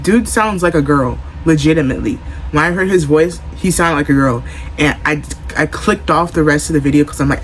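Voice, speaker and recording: A man hosting a reaction video who comments loudly and chattily, playing things up for the camera. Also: on the high side, slight background noise, low-quality recording. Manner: chatty, loud, exaggerated for the camera.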